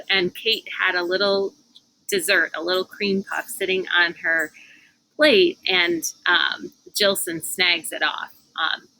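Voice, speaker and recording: A woman's voice: medium pitch, vocal fry, sharp tone, low-quality recording. A woman reading a children's book aloud outdoors on a porch, adding casual asides as she goes. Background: cicadas and air conditioner hum.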